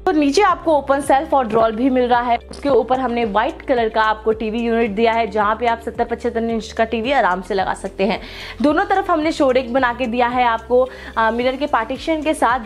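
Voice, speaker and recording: A young woman with a high, bright-sounding voice leads a property tour, gushing over every detail in an eager sales pitch. She walks through a furnished apartment as she talks, and soft music plays faintly underneath. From India. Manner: enthusiastic, gushing salesy praise. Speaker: real estate tour presenter. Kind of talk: property walkthrough presentation. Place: large furnished indoor apartment.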